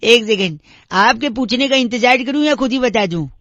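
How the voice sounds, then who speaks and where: Comic radio prank caller, played by a man: very high voice, dry close sound, pedantic, needling, mock-earnest. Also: moderate pace, bright, forceful tone, no echo, highs cut off. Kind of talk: comic prank phone call.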